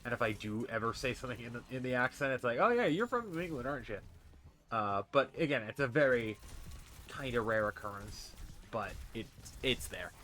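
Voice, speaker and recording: A man's voice: mid-range voice, animated, brisk, pushed and bright. Stuffy-nosed man with a faint Boston accent. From Massachusetts, USA. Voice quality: stuffy, congested. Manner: rambling, with many restarts and fillers. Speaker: streamer and vlogger. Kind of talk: casual personal vlog. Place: his bedroom with a fan running. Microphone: desk mic on a stand.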